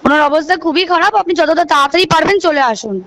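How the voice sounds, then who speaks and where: High, fast-talking Bengali woman on a call. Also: fairly bright tone. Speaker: hospital assistant superintendent calling a relative. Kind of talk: urgent phone notification. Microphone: recorded phone call.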